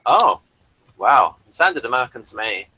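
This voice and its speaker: A man hosting a casual review chat, talking in a halting way and repeating words as he goes. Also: mid-range voice, big pitch swings, sharp tone, husky. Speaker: host of a TV review show.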